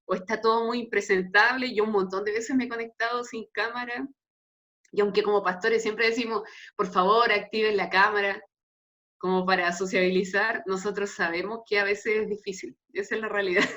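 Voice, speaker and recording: A woman's voice: medium pitch, fairly even intonation, fairly quick, pushed and bright. A woman in her thirties with a Chilean Spanish accent, a pastor speaking casually and chattily as she leads an informal Bible talk on a video call. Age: 30s. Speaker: pastor leading a youth meeting. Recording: dull, lo-fi video call audio.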